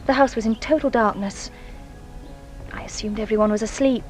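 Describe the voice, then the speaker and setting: A woman's voice: on the high side, steady tone, moderate pace, darkish tone, faint music behind, natural voice. An actress playing an anxious, guilt-ridden young Englishwoman giving testimony in a radio drama, with a hesitant, dramatic manner. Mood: uneasy recollection.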